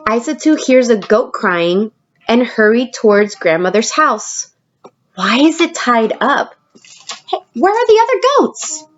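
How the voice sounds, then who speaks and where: A woman teacher reading a picture book aloud expressively, pausing to prompt young listeners with questions. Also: fairly high pitch, sing-song, highs cut off, slight background noise. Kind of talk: storybook read-aloud with questions to children.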